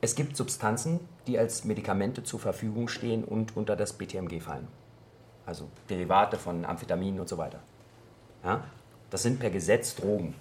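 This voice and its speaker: Actor as insistent, low-voiced male politician. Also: fairly quick, a little mellow, a little hiss or hum, lightly acted. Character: male politician arguing earnestly for drug policy reform. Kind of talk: parliamentary committee debate in radio drama.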